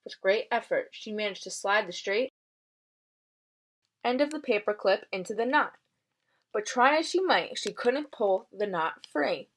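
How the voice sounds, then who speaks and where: Female educator, medium pitch, expressive and instructive storybook read-aloud for a class. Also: measured pace. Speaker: teacher reading a children's novel aloud.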